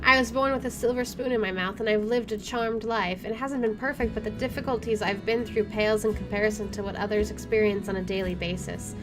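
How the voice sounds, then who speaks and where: A woman's voice: mid-range voice, steady tone, a little fast, few pauses. A woman hosting a let's play, reading story narration aloud and adding casual asides. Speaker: gaming video host. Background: game music.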